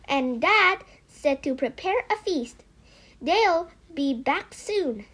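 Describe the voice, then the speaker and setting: A woman as an excited high-pitched little fox cub. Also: slow, exaggerated voice. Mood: eager, thrilled. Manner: breathless, exclamatory, spluttering. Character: excited, eager small boy fox cub.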